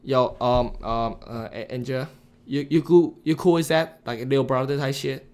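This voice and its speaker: A man's voice: mid-range voice, steady tone, moderate pace, a little mellow. A young man hosting a casual stream chat, talking in slang, stammering and repeating his words. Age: young adult. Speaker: streamer host. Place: small bedroom streaming setup.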